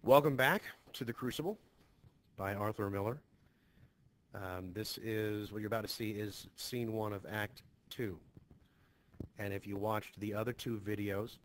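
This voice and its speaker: A man's voice: lowish voice, measured pace. A man speaking as a teacher, giving an informal, conversational and reassuring introduction to a lesson. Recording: noticeably hissy home screen recording.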